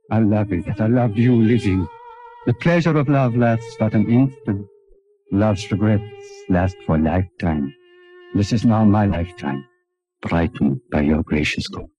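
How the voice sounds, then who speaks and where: An actor playing an imperious, passionate foreign prince in a 1940s radio drama, speaking in florid, formal phrases. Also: male voice, on the low side, warm tone, lightly acted, poor audio. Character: lovesick, imperious foreign prince, secretly a revolutionary.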